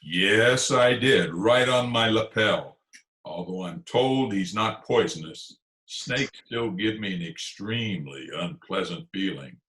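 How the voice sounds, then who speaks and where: A man's voice: fairly low pitch, slow, a bit bright. A man playing a pompous, easily angered middle-aged school principal in old-time radio comedy style, with theatrical, elaborate phrasing. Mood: indignant. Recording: remote home recording over video call.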